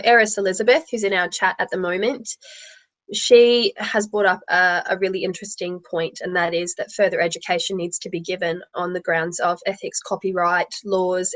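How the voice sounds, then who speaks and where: Woman talking loud and blunt on a live panel, big voice, keeps repeating herself for emphasis. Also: medium pitch, rough recording. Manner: emphatic, repeats phrases for stress. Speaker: tarot livestream panelist. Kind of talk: opinionated live panel talk.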